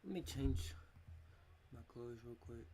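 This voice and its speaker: A man's voice: medium pitch, animated, takes it slowly, mellow, slight background noise. A man streaming, talking offhandedly to himself as he picks a video to react to.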